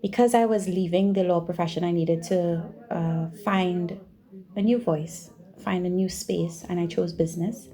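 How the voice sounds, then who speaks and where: Trinidadian woman, slightly low-pitched, emphatic. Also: steady tone, normal speed, dark tone. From Trinidad and Tobago. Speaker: podcast guest, doctoral researcher and university teacher. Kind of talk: conversational interview answers.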